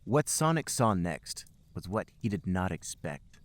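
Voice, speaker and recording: A man with a fairly low voice reads comic fiction aloud as a podcast host. His delivery is emphatic and dramatic, with very animated pitch. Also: measured pace, no echo. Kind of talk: comic read-aloud narration of amateur fiction.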